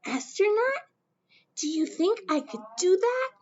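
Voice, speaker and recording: A woman's voice: very high voice, big pitch swings, a little slow, lo-fi sound, voice pushed up, full character voice. A woman voicing a young girl who dreams of space, eager and wondering, in a storybook reading.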